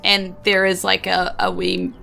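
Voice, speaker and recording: A woman's voice: on the low side, very animated, a little slow, gravelly, bright, forceful tone, faint music behind, exaggerated voice. A woman with a New Zealand accent voicing an evasive, flustered town speaker who stalls with constant ums and uhs. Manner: hesitant, full of "uh" and "um", stalling.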